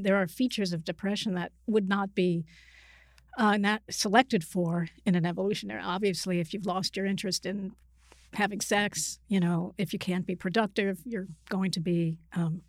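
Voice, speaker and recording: A woman speaking as an expert guest in a conversation, explaining in a measured, analytical way with hesitant self-corrections. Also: mid-range voice, no room sound, clean studio sound. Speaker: expert guest, psychiatrist and author.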